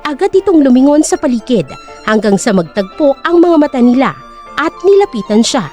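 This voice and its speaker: A woman's voice: sits high, very animated, fairly quick, warm tone, faint music behind. A woman narrating a scripted dramatic story.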